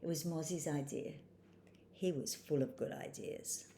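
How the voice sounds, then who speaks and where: A woman reading a children's story aloud in slow, expressive, simple phrases, with faint noise behind her. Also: deep voice. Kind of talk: read-aloud storybook narration.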